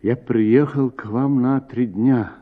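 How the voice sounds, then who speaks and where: A man's voice: medium pitch, steady tone, takes it slowly, pitched above the performer's own voice. A man voicing a polite, businesslike visitor from the city in a radio story, in a courteous conversational way. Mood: apologetic. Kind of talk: acted dialogue in radio story reading. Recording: narrow-band, phone-like sound.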